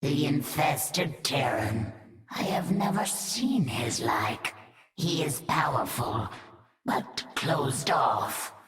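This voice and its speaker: An actress voicing a proud female alien brood leader who speaks in a hushed, breathy way. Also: low-pitched, animated, takes it slowly, crowd noise. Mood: wary. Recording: echoey and distant.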